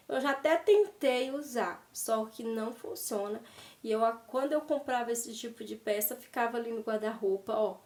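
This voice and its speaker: A woman's voice: on the high side, a little mellow. Chatty Brazilian woman with a cold. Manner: chatty, tags sentences with "né?" and addresses viewers as "gente". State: slightly congested from a cold. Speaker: fashion and lifestyle vlogger. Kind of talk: casual chat to viewers. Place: at home by her wardrobe. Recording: roomy, distant from the mic.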